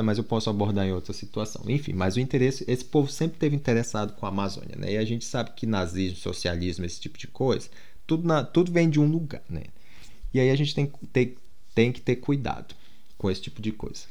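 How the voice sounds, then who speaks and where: A man's voice: on the low side, darkish tone. A Brazilian man with a Brazilian Portuguese accent, talking casually to his viewers in a rambling monologue full of restarts and fillers. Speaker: vlogger.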